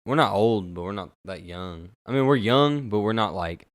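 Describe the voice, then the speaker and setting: A young man with a very low voice talks in a laid-back, slangy, teasing way on a podcast. His voice comes through close and dry, with no echo. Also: normal speed. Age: young adult. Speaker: podcast co-host. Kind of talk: casual chat.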